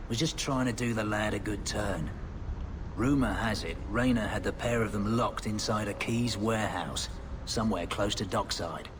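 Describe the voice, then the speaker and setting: An actor as a low, weary burned-out ex-Templar. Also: male voice, moderate pace, noisy background, lightly acted. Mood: placating. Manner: world-weary, sardonic, colloquial. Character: burned-out former Templar addict, gruff weary informant. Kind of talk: video game dialogue acting.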